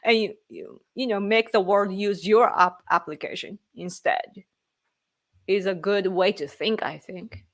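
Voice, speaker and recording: Japanese lady streaming, slow and rambly, chats with viewers, laughs a lot. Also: medium pitch, steady tone. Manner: rambling, reads chat aloud, laughs often. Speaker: livestream host. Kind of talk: casual live stream chat.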